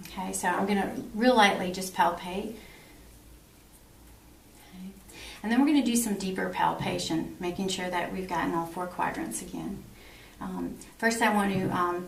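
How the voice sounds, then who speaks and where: Woman, middle-aged, creaky voice, instructional clinical walkthrough, distant camera mic. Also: medium pitch. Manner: instructional, explaining each step aloud. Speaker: nursing instructor demonstrating a physical exam. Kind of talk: clinical demonstration explained to a patient. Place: small block-walled exam room. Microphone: camera a few feet away. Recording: roomy, distant camera mic, faint noise.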